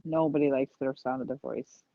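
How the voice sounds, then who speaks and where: A woman in casual conversation, reflective and sometimes halting as she talks through feelings. Her voice comes through slightly dull and muffled, with no room echo at all. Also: rumbling low, steady tone, gravelly. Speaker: podcast co-host.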